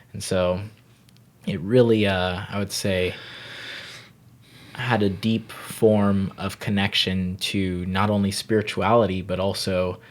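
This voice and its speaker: A man in his thirties from Barbados, a yoga teacher talking unhurriedly and thoughtfully as a podcast guest. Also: low-pitched. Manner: unhurried, thoughtful, frequent "you know". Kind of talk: reflective podcast interview.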